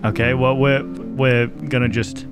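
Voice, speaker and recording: A man hosting a reaction video, chatting and reacting aloud in an excitable, casual way. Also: medium pitch, a little slow, music in the background. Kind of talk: reaction commentary.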